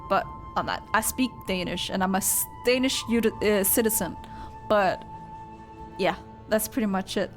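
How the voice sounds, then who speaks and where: A young woman streaming her reaction to a game story, chatting casually and swinging between squeals and flat rambling asides. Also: on the high side. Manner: excitable, gasps and squeals, then drops to flat rambling. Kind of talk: casual live reaction commentary. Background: game music.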